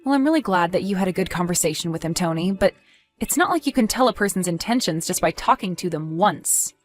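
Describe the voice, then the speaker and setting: A woman's voice: on the low side, lively intonation, fast, faint music behind, natural voice. A woman playing a caring, cautious and practical wife, fussing conversationally in an audio drama. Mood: worried, insistent.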